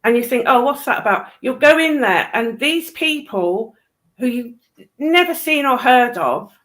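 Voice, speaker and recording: Slow-paced woman, fairly high voice. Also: animated, a bit bright. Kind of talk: casual discussion, explaining.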